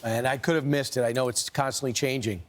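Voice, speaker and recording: An American man with an average-pitched voice talks as a morning news co-host. He works through his points aloud in short, halting, conversational phrases. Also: steady tone. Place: TV studio couch set.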